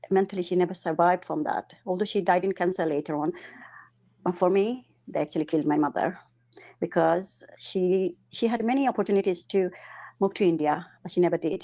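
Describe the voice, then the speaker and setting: Earnest middle-aged woman from Bangladesh, lowish voice, halting delivery. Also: darkish tone. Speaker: interview guest, councillor. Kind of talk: personal testimony in interview.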